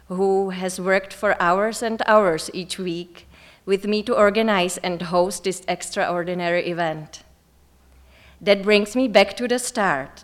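Woman, 30s, Czech accent, native Czech speaker, formal scripted conference introduction from a stage. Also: medium pitch. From Czech Republic. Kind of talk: prepared keynote introduction. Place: lecture stage.

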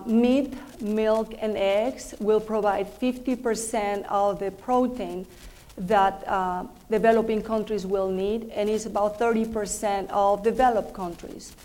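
A woman from Mexico with a Mexican accent, giving measured prepared remarks as an industry panelist, with frequent fillers. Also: medium pitch, dark tone.

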